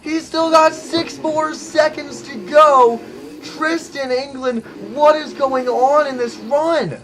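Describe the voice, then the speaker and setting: A man commentating on a simulated monster truck event in an excited play-by-play style, hyped and exclaiming often, as his voice starts to go. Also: extremely high pitch, slow pace, slight background noise, poor audio. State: losing his voice.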